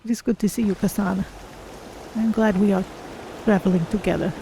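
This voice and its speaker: Gentle cat-folk heroine, voiced by a woman streamer: soft dark tone, polite, faint ambient noise. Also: medium pitch, fairly even intonation, moderate pace, vocal fry, natural voice, poor audio. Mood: friendly, calm. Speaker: streamer role-playing a game character. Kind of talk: in-character commentary on a video game.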